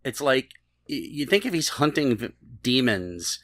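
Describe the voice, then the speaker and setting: A man co-hosting a podcast, chatting casually and critiquing in a halting, conversational way. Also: medium pitch, very animated, fairly bright tone, no room sound, studio quality. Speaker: podcast co-host. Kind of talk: casual chat, TV episode discussion.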